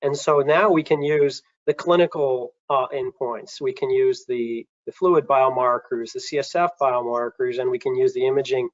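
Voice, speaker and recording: A man speaking on a virtual panel, pausing and restarting often with fillers as he explains. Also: medium pitch, steady tone, no room sound. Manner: halting, many restarts and fillers. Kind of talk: panel discussion. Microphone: video call. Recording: muffled video call audio.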